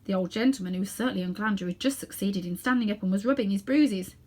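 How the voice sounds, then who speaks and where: A woman reading a children's story aloud in an expressive narrating style. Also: medium pitch, fast talker. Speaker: storybook reader.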